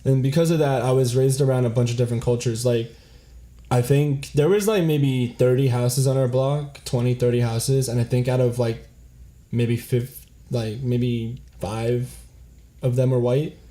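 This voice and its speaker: A young man from South Florida chats in a relaxed, filler-heavy way, swearing casually. His voice is dark and mellow and sits at a middle pitch. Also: normal speed. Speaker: podcast co-host. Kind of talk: casual chat.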